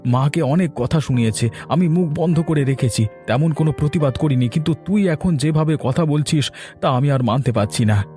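A man voices a fed-up younger brother from a village cartoon. His tone is dark and his delivery firm and reproachful. Faint music sits underneath. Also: on the high side, brisk, close to an everyday voice. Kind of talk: cartoon voice acting.

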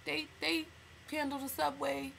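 Sassy, bright-voiced young American woman. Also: high-pitched, a little slow, gravelly, slight background noise. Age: young adult. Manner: animated, sassy, slangy, acts out reactions. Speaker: vlogger. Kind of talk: casual vlog storytelling. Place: small indoor room at home.

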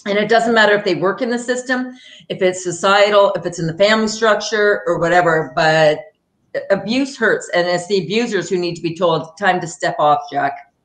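A middle-aged woman speaks bluntly in a deep, loud voice. She is on a video call from home, and the webcam picks up a roomy echo. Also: normal speed. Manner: blunt, emphatic. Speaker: energy healer guest. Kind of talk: casual chat. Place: home room, indoors. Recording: echoey room.

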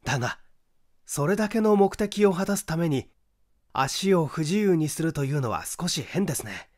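A man's voice: on the high side, sing-song, moderate pace, voice pushed down. A man voicing a cornered young man in a mystery audiobook, feigning composure with formal, theatrical defiance. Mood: defiant. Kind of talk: dramatic audiobook character acting.

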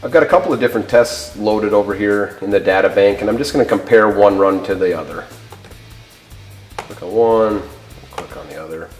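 A middle-aged man presents and demonstrates a product. His voice sits fairly low. The room adds a clear echo, and music plays in the recording. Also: fairly quick. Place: indoor workshop showroom. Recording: roomy echo, faint music behind.

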